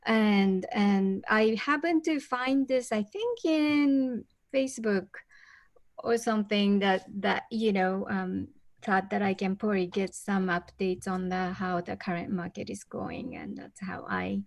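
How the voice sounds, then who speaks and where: Woman from japan, hesitant, fillers, dry sound, mid pitch. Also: expressive, unhurried, no room sound. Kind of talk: casual video call introduction. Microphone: video call.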